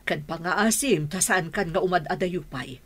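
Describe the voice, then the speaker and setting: Casting: anxious, caring mother for radio drama; husky, fairly low, motherly and imploring. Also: normal speed. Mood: motherly probing. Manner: pleading, motherly. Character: worried, caring mother of a grown son. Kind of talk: Ilocano radio drama acting.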